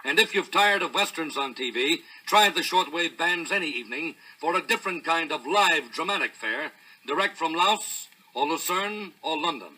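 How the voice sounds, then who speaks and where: A man's voice: fairly high pitch, animated, moderate pace, bright, forceful tone, husky, rough recording. An American man narrating a scripted 1950s promotional record in an earnest, dramatic, formal announcer's manner.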